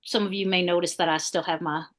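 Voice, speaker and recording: Woman playing a spirited 1800s Southern spy, tomboyish and playful. Lowish, storytelling in the first person. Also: steady tone, fast talker, close to an everyday voice. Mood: lively, playful. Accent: American Southern. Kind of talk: living history portrayal in an interview.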